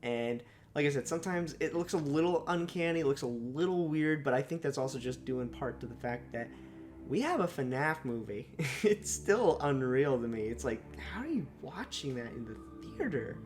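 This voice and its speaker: Young male reviewer, a little raspy from a sore throat, middle-pitched, off-the-cuff and rambling, soft music underneath. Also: animated, moderate pace. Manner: rambling, frequent fillers and self-corrections. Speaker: movie reviewer. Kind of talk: unscripted first-impressions monologue.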